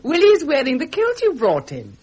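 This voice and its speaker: A woman's voice: sits high, wildly swooping pitch, moderate pace. An actress playing a fond, placating middle-aged royal mother, in period radio drama style. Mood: fond, amused. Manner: gushing, gently placating. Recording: muffled vintage radio recording.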